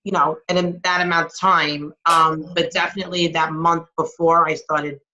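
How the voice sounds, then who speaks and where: A woman answers questions on a video call in a casual, hesitant way, pausing often. Her voice sounds bright and a little tense, and the audio comes through dull and lo-fi. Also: deep voice, flat delivery. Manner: hesitant, lots of ums. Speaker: team member guest.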